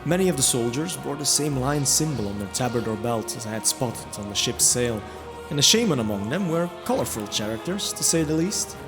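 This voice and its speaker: A man's voice: mid-range voice, lively intonation, fairly quick. A man narrating a first-person fantasy audio drama in a reflective storytelling manner. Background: music.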